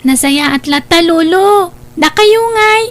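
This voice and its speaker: A woman playing a very high-voiced young granddaughter. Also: slow pace. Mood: polite. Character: cheerful studious young granddaughter.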